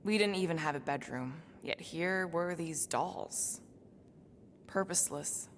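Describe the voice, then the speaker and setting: An actress playing a guarded, weary alien former assassin in a cinematic video game, speaking in a halting, reflective way. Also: female voice, lowish voice, slight background noise, close to an everyday voice. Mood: reflective, somber.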